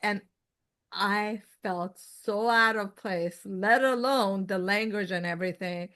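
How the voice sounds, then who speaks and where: A woman in her fifties with a light Persian accent talks as a guest in a relaxed interview. She meanders through her story with lots of fillers and self-corrections, in a mid-range voice. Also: medium pitch, slow pace. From Iran. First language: Farsi. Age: 50s. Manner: rambling storyteller, many fillers and restarts. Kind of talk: casual interview chat.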